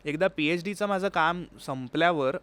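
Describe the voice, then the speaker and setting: A man talks as an interview guest in a very clean, resonant voice pitched a little high. He explains things in a conversational, storytelling way and slips English words into his talk. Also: moderate pace, fairly bright tone, smooth. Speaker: interview guest, sitar player.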